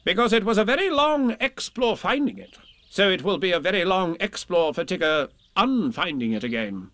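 A man's voice: high voice, very animated, normal speed, sharp tone, no echo, pitched above the performer's own voice, heavily acted. A man voicing a bossy, self-assured, scheming rabbit from a children's storybook, speaking in an emphatic, officious way. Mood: confident, persuasive. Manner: emphatic, officious, wordy explaining. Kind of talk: children's storybook character reading.

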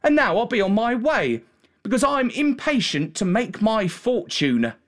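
Man doing high-pitched plucky shepherd boy. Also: sing-song, a little slow, a bit bright, exaggerated voice. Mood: confident. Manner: plain rustic, ungrammatical speech.

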